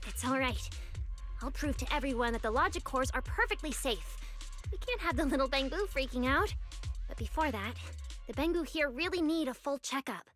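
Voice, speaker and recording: Norma, an earnest high-voiced young researcher. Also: female voice, expressive, normal speed, fairly bright tone. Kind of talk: video game dub. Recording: game audio over music.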